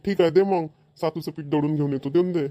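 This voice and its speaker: A middle-aged cartoon village father, voiced by a man grumbles at his idle son. He talks quickly, in a rather high voice. The sound is dry and close. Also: warm tone, no room sound, highs cut off, natural voice. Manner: rural Marathi dialect, grumbling, repetitive. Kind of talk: cartoon voice acting.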